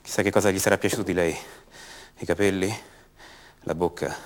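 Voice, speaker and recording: An actor playing an anguished young son of a disappeared mother in a radio drama monologue, halting and repeating words with intensity. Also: deep voice, fairly even intonation, close to an everyday voice. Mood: tender, wistful. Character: anguished young son of a disappeared woman, raised by her captors.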